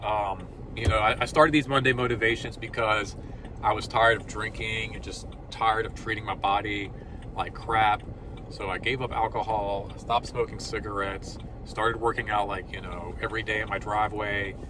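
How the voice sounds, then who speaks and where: A man's voice: lowish voice, steady tone, moderate pace, far from the mic, noisy background. Rambling upbeat man in his forties. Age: 40s. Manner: rambling, upbeat, full of fillers. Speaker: vlogger. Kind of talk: casual motivational vlog.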